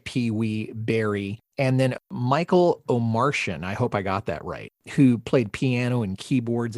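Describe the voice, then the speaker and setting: A man's voice: medium pitch, a little mellow, no echo, studio quality. A man hosting a podcast, talking conversationally and in detail about music. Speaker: podcast host.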